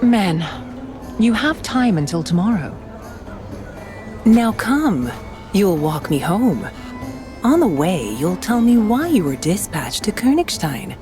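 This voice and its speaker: A commanding, poised noblewoman from a medieval game, speaking directly with very lively intonation. Her pitch is average for a woman. Music is clearly heard behind her. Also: slow pace, pauses often. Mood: assured, teasing. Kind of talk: video game cutscene acting.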